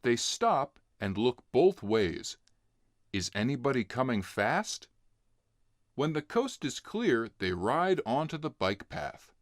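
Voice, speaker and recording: A man narrating a children's storybook in an expressive read-along style. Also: medium pitch, slow, no room sound, studio quality. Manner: expressive, clearly acting out dialogue. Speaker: narrator reading a children's book.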